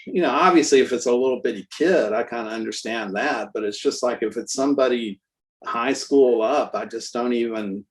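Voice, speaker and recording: Sixties man, loose easygoing storyteller, mid-pitched voice, talks around his point with fillers. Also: normal speed, rough recording. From Texas. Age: 60s. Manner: rambling, relaxed storyteller with many fillers. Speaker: guest artist and musician. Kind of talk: casual conversational interview.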